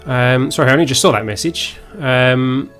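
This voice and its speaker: A man with a southern English accent commentates live on his own gameplay. His voice sits at a medium pitch. He talks casually and off the cuff, half to himself, over music. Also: moderate pace, fairly bright tone. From Essex, England. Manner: unscripted, muttering to himself as he plays. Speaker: live streamer, gaming host.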